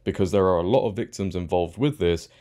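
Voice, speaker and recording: Male, deep pitch, dark mellow tone, news commentator, opinionated, emphatic delivery. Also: a little fast. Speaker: online news commentator and host.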